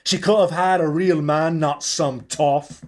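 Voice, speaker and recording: A man's voice: fairly high pitch, fairly even intonation, a little slow, voice pushed up, lightly acted. A man voicing a resentful, scornful pub landlord in his thirties for an audiobook, speaking emphatically. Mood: scornful, sour. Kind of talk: audiobook character acting.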